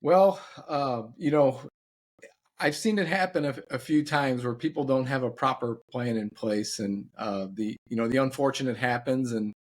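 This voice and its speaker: A man of forty giving advice in a casual podcast discussion, plain-spoken and measured with some halting phrasing. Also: medium pitch.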